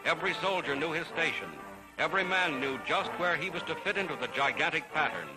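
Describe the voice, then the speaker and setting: Old wartime newsreel guy, kinda high voice, solemn n dramatic, big music blaring behind him. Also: a little fast, rough recording. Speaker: newsreel narrator. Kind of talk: 1940s newsreel scripted narration. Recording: archival 1940s newsreel soundtrack. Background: loud orchestral music.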